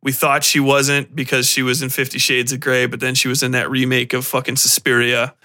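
A man's voice: medium pitch, monotone, brisk, sharp tone. A man hosting a podcast, rambling through casual film commentary in a profane, exasperated, sarcastic way. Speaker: podcast host.

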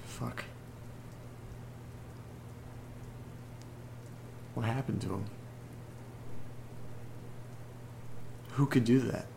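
A man playing an uneasy horror podcast host who reads letters aloud, earnest and deliberate, with pauses between phrases. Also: medium pitch, fairly even intonation, vocal fry, noisy background. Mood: shaken, realizing. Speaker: audio drama podcast host character reading listener letters. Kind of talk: dramatic narration in a horror audio drama.

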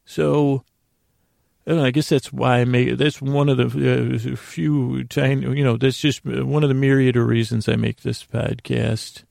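A man hosting a bedtime podcast, rambling in a gravelly, creaky voice with halting, stammered repetitions and a self-deprecating manner. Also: mid-range voice, level tone, a little mellow, dry recording, studio quality. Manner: meandering, halting, stammering with repeated words. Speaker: podcast host.

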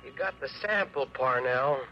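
A man playing a sinister bomber in an old radio drama, delivering threats in a hushed, deliberate voice. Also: expressive, natural voice. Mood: menacing. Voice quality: low, hushed. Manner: slow, sinister. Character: menacing, slow-talking male bomber making a threatening call. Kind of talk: 1950s-60s American radio drama acting. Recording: noisy, dull old radio recording, phone call.

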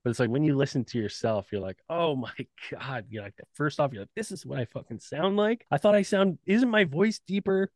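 A man's voice: a little high, animated, fairly quick, few pauses, dry recording, clean studio sound. A man chatting casually as a podcast guest, laid-back and profane, laughing often between thoughts. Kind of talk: casual chat.

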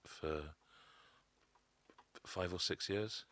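A man telling his own story as a podcast guest, halting often with a stammer. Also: low voice, sharp tone. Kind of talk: personal testimony.

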